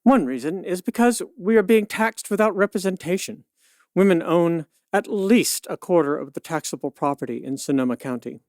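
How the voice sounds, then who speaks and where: A woman playing a firm, sharp-witted suffragist attorney of 1911, arguing with pointed retorts in a radio play. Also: lowish voice, lively intonation, normal speed, no room sound, studio quality. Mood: firm, reasoned. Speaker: debate guest. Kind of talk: pseudo-radio play, argumentative debate.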